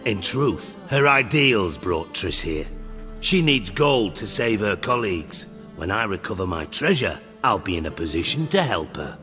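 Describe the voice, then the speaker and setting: An actor playing a cynical middle-aged spymaster in a video game, with a British accent and a mockingly polite, wry, profane way of talking. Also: male voice, on the low side, big pitch swings, a little slow, sharp tone, big-room sound, soft background music, exaggerated voice. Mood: wry, probing. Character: cynical, shrewd, sarcastic male underworld spymaster, middle-aged.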